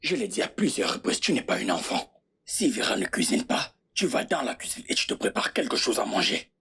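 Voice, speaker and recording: A woman voices a young man in a dubbed film drama, the eldest brother, defensive and given to insistent repetition. The voice sits very low and breathy-husky and moves with animated rises and falls. Faint music plays under the lines. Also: fairly quick, exaggerated voice. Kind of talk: French dub of a film.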